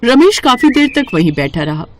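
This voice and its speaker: A woman's voice: on the high side, big pitch swings, normal speed, slight background noise. A woman narrating a scripted moral story for children.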